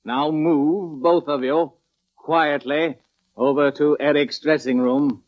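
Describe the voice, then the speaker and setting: An actor playing a menacing, embittered male gunman, quiet and commanding, in a 1940s radio drama. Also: on the high side, steady tone, takes it slowly, no room sound. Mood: cold threat. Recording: dull, dry vintage radio recording.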